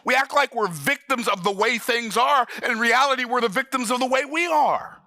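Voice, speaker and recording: A man's voice: very high-pitched, brisk, sharp tone, dry recording, clean studio sound. A man in his sixties teaching the Bible in an emphatic, folksy preaching manner. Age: 60s. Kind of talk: sermon-style Bible study.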